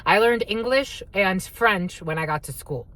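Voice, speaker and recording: A woman's voice: medium pitch, animated, measured pace, a bit bright, rough recording. A woman whose first language is Spanish gives a casual tarot reading. She talks bluntly, swears freely, and calls her viewers "my lovies". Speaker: tarot reader.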